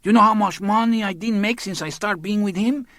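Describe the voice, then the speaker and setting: A man's voice: sits high, fairly quick, a bit bright, no echo, pitched above the performer's own voice. A man voicing a bitter, complaining young woman who speaks broken English, in a dry audiobook reading.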